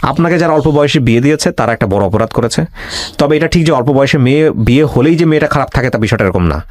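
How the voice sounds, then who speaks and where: A man's voice: mid-range voice, lively intonation, fast, no echo. A middle-aged man with a Bangladeshi accent hosting an earnest advisory talk, speaking emphatically, repeating phrases and dropping in English words. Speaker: talk show host. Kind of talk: earnest advisory monologue and interview.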